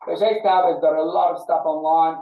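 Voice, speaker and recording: A man teaching a small study group informally, often halting and repeating words as he explains. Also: on the high side, fairly even intonation, recorded from a distance. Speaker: class teacher. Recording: muffled phone-like audio, echoey, off-mic.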